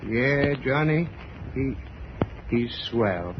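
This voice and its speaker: An actor playing a plain, agreeable small-town storekeeper in an old-time radio drama. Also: male voice, medium pitch, lively intonation, very slow. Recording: dull old radio recording.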